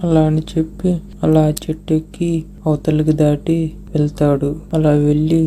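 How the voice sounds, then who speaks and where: A woman's voice: low voice, monotone, normal speed, mellow, a little hiss or hum. A woman narrating a scripted moral story for children.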